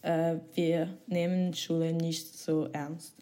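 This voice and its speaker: Slow, halting young woman from Switzerland. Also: low voice, little pitch movement, mellow. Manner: halting learner's German, hesitant, giggly. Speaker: guest, former exchange student. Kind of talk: casual interview answers.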